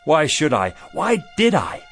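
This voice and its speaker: A man's voice: a little high, wildly swooping pitch, measured pace, gravelly, fairly bright tone, exaggerated voice. Earnest everyman shopkeeper over music. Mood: shaken. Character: anxious, wistful married shopkeeper, an ordinary man. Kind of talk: radio drama acting. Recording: music behind the voice.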